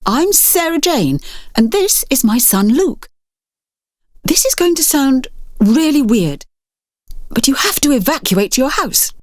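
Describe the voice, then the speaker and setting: A woman's voice: sits high, very animated, measured pace, pauses often, no echo, clean studio sound, pitched above the performer's own voice. A British woman voicing an urgent, commanding woman adventurer in an audiobook, insistent and earnest. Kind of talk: audiobook character dialogue.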